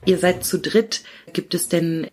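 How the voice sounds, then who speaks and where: A woman's voice: fairly low pitch, fairly even intonation, unhurried, a little mellow, a little hiss or hum. A woman interviewer putting questions in a conversational style.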